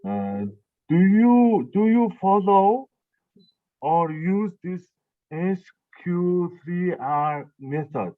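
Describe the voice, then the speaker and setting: A man asks conference questions in slow, hesitant English with non-native phrasing. His voice comes through a dry, narrow, phone-like remote line. His pitch sits at a middle level. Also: lively intonation, dry recording.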